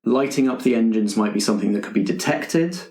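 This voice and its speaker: A man's voice: medium pitch, animated, fast, a little mellow. A man narrating a tabletop roleplaying game as game master, explaining possibilities in a tentative, exploratory way. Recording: echoey room.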